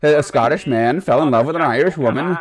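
A man's voice: a little high, fast talker. A man chatting casually while playing a co-op game, joking and rambling with lots of "like". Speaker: gamer.

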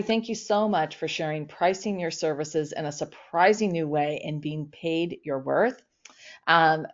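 Middle-aged female podcast host, very low voice, affirming and chatty, dull video-call sound. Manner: warmly affirming, chatty with many ums. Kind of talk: interview over video call.

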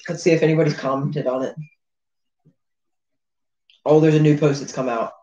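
A man's voice: on the high side, fairly even intonation, moderate pace, dark tone, lo-fi sound, a little hiss or hum. A young man co-hosting a livestream indoors, chatting casually with slangy, teasing banter. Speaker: livestream co-host. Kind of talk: casual chat. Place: living room at a table, indoors. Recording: echoey room.

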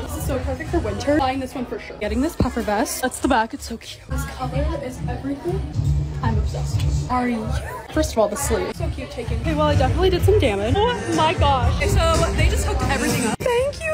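Bubbly, lively young woman vlogger. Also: sits high, fast, over loud music, a loud crowd. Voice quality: raspy. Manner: bubbly, excitable, gushing. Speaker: lifestyle vlogger. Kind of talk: casual vlog voice-over.

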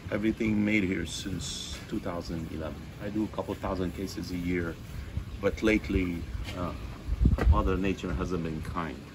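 A Lebanese-born winemaker in his sixties with a very low voice, talking casually to a group of visitors. He rambles through asides and jokes about himself. The recording is an outdoor phone clip from a few meters off, with clear background noise. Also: fairly even intonation, normal speed. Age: 60s. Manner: plain-spoken, self-mocking, rambling with asides. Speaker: winemaker hosting a tasting. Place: outdoor patio of a hillside winery. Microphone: phone camera a few meters away.

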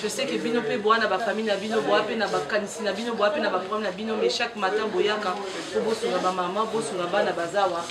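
A middle-aged woman from Congo who heads a foundation addresses staff and mothers in a hospital ward. She speaks spontaneously and thanks them warmly. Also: mid-range voice, fairly even intonation, fast. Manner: warm thanking, mixing French and Lingala. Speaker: foundation head visiting a maternity ward. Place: crowded hospital ward, indoors. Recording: echoey ward, mic far off, loud crowd. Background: loud crowd chatter.

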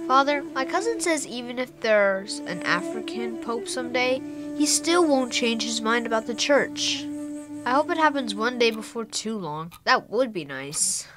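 A curious young boy in an audio drama. The voice is fairly high and eager, always questioning, with clear music playing behind it. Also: expressive, a little slow. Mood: earnest.

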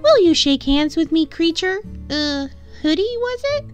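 A woman's voice: very high-pitched, animated, a little slow, faint music behind, voice pushed up. A woman voicing a panicky old village woman in a children's story, screechy and fretful. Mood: sheepish, timid.

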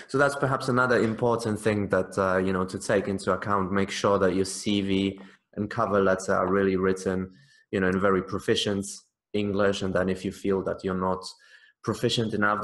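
A man's voice: low-pitched, flat delivery, brisk, fairly bright tone. A man in his thirties hosting an interview and explaining to camera in an earnest way, recorded on a webcam. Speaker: host, teacher trainer. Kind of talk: interview host and intro to camera. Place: small indoor room. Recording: echoey room.

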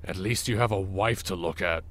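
An actor playing a crude, sarcastic, unhappily married boss in an audio drama, with deadpan delivery. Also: male voice, low-pitched, normal speed.

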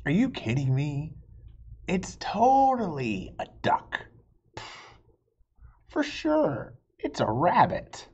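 A man voicing stubborn, childlike bickering friends from a picture book, excitable and exaggerated. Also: fairly high pitch, slow pace, long pauses, husky, lo-fi sound, pitched above the performer's own voice, exaggerated voice. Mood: excited bickering. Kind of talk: children's picture-book dialogue read aloud.

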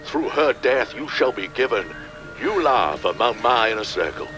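An actor playing a commanding cult pastor in a video game, solemn and sinister, with deliberate preacherly delivery. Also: male voice, mid-range voice, animated, music in the background, lightly acted. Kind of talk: video game cutscene acting.

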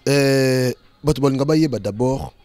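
A man's voice: medium pitch, moderate pace, a little mellow, dry recording, faint music behind. A middle-aged man with a Congolese accent, hosting a casual interview on a handheld microphone. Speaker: interviewer, host. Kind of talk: casual interview, switching between Lingala and French. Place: indoors on a sofa.

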